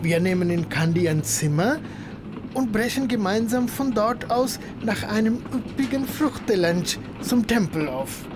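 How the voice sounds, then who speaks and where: An actor playing a learned, self-important Tamil gentleman in a radio play, speaking politely in florid, pompous phrases. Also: high-pitched, lively intonation, measured pace, background noise. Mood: delighted, inviting. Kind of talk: German radio play acting.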